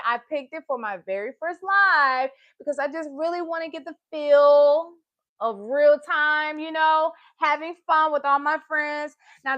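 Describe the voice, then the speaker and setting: A woman hosting a children's storytime livestream, chatting to small children and parents in an animated, encouraging, sing-song manner. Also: sits high, no room sound.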